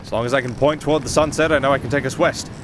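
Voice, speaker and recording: A man's voice: mid-range voice, fairly even intonation, very fast, natural voice. Man playing wry castaway captain over sea noise. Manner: chatty, wry, addressing an unheard companion. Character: roguish, wry young castaway musician turned makeshift captain. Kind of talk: ASMR fantasy roleplay acting. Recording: clear outdoor sea ambience behind.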